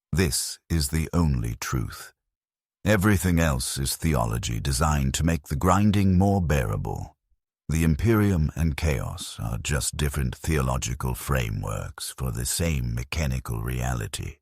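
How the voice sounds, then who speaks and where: Male story narrator, extremely deep and animated in pitch, ominous scripted delivery, close dry sound. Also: slightly rough, dry recording. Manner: grim, ominous, solemn. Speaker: narrator of a sci-fi lore story. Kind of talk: dramatic scripted first-person narration.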